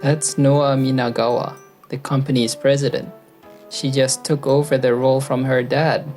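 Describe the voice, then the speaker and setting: Woman as earnest young employee, very deep register, manga dub narrator, loyal, conversational, faint music behind. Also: little pitch movement, moderate pace, a little mellow. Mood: reflective narrating. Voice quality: low-pitched voice. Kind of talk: anime-style manga dub with first-person narration. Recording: background music under the voice.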